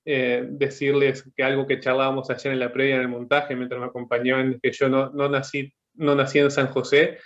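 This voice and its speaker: A man, an artist speaking on a panel over a video call, thoughtful and halting as he searches for words. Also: medium pitch, flat delivery, a bit bright, muffled recording. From Uruguay. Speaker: artist guest. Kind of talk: panel discussion.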